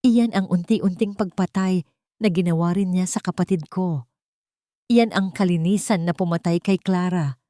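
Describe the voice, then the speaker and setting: A woman voicing a defiant, authoritative older aunt in an audiobook melodrama, speaking firmly and forcefully. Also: lowish voice, moderate pace, no echo, studio quality, natural voice. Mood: accusing. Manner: firm, forceful.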